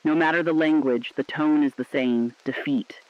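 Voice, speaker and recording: A man playing a somber, resolute radio correspondent in a scripted doomsday broadcast, speaking deliberately and poetically. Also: on the high side, fairly even intonation, natural voice. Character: somber, resolute radio co-anchor reporting civilization's collapse. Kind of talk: scripted dramatic apocalyptic news broadcast. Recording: slightly dull, dry studio sound.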